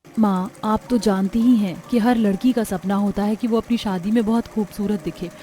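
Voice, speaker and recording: Woman playing a humble, gentle young bride-to-be. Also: medium pitch, steady tone, moderate pace, faint music behind, lightly acted. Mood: wistful.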